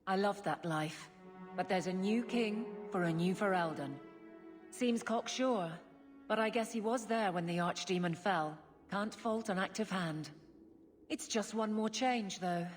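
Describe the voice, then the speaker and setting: A woman's voice: mid-range voice, unhurried, faint music behind, lightly acted. An actress voicing a blunt, loyal woman guard and former soldier in a video game, plain-spoken and dry. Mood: frank, weary. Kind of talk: video game character dialogue.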